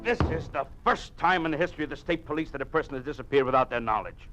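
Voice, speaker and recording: An actor playing a stern, menacing police chief in an old-time radio drama, with a clipped, accusing, authoritative delivery. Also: male voice, on the high side, fast talker, music in the background, close to an everyday voice, low-quality recording.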